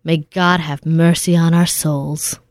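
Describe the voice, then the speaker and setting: Woman playing a smooth, grave lady scientist. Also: low voice, flat delivery, no room sound, studio quality. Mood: grave, urgent. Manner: formal, grave, deliberate exposition. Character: earnest, authoritative female secret-lab scientist briefing agents. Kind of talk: 1940s-style radio play acting.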